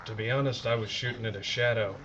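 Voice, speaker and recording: A man's voice: lowish voice, fairly even intonation, fast, natural voice. Man acting a earnest, battle-shaken army sergeant. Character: shaken but steadfast American army sergeant. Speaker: actor in an audio horror drama. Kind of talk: dramatic audio drama acting. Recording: muffled lo-fi audio with noise.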